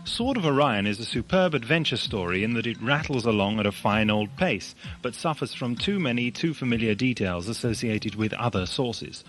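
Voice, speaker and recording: Man, scripted letter reader, medium pitch, faint music behind. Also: expressive, normal speed, hardly any pauses, a bit bright, rough recording. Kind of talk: scripted reading aloud.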